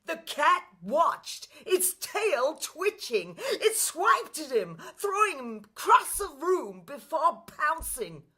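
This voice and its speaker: A woman voicing an excitable, tactless, boastful mouse in a children's radio play, telling things in an animated, dramatic way. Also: high voice, slow pace, hardly any pauses, voice pushed up, full character voice. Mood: gleeful, dramatic.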